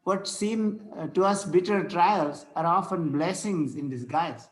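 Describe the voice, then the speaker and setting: A man playing a courteous, solemn Victorian clergyman in a play read-through, speaking formally and a little haltingly. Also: high voice, rough recording. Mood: consoling. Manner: formal, preachy, halting as he reads. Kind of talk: amateur play table read.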